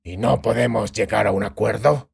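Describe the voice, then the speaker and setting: A man performs an icy monster hunter from a fantasy audiobook. He speaks low and gravelly, measured and deliberate, keeping himself tightly controlled. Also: heavily acted. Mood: restrained. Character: cold, controlled male witcher bargaining for time. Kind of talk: dramatized fantasy audiobook dialogue.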